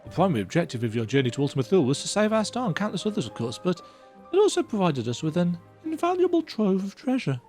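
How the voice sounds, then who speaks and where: A man's voice: high voice, wildly swooping pitch, quick pace, darkish tone, soft background music, voice pushed up. A man voicing an eager, polite bespectacled engineer who speaks in an effusive, formal way. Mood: excited. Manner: effusive, formal, breathless delight.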